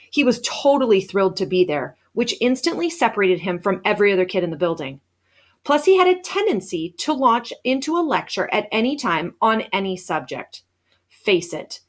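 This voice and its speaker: A woman narrating a children's novel aloud in an expressive, storytelling style. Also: medium pitch. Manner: animated, acts out dialogue.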